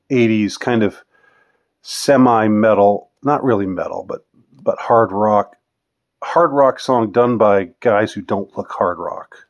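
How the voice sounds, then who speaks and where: A man in his fifties with a fairly low voice talks casually as a vlogger taking questions. He speaks off the cuff in a halting, rambling way, often letting a thought trail off before picking it up again. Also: highs cut off. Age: 50s. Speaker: vlogger answering viewer questions. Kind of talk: casual unscripted question-and-answer monologue.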